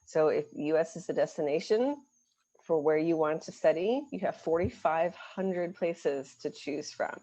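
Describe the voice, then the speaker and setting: Low, mellow-voiced American admissions woman. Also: measured pace, dull audio. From United States. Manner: warm, encouraging, with frequent "um"s. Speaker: international admissions representative. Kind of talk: webinar presentation.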